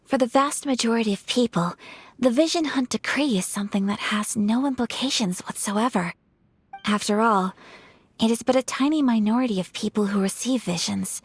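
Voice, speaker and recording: A woman playing a refined, composed young noblewoman in a video game, speaking in a formal, measured, polite way with a soft voice. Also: a little high, husky, no room sound. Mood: composed, serious. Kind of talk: video game dialogue acting.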